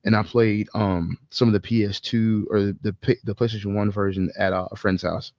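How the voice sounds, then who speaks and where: Male co-host, creaky lowish voice, informal opinionated banter with casual swearing, dry and slightly lo-fi. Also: little pitch movement, normal speed, no echo. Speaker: podcast co-host. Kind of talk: casual chat about movies and games.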